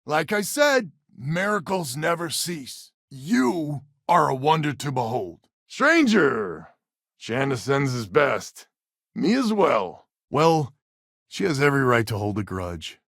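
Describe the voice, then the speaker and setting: A man's voice: mid-range voice, very animated, slow pace, gravelly, fairly bright tone, dry recording, clean studio sound, exaggerated voice. An actor playing a wry, weary male space colonist who heads a settler family in a video game, with a folksy, sardonic manner. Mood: wry, dry.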